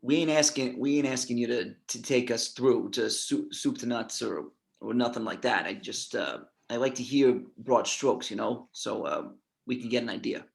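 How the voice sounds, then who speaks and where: A man reading a chatty, fussy mobster in his fifties, creaky and halting, at an amateur script table read. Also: mid-range voice, natural voice. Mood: hedging. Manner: halting, repeats himself.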